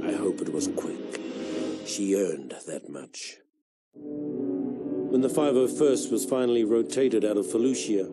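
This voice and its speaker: An actor playing a world-weary veteran clone soldier, narrating his war memories in a measured, solemn way for a video game. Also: fairly low pitch, natural voice. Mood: somber, haunted. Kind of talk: scripted narration, military memoir.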